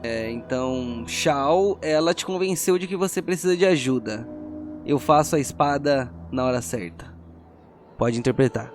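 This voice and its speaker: A man's voice: medium pitch, normal speed. A man with a Brazilian accent narrating a tabletop role-playing game as game master, telling the story dramatically and checking in with players. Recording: music behind the voice.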